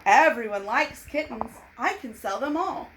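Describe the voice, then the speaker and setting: A woman voicing an agreeable, polite male shopkeeper in a storybook, with courteous, measured short sentences. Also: on the high side, lively intonation, fairly bright tone, low-quality recording. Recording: noticeable background noise.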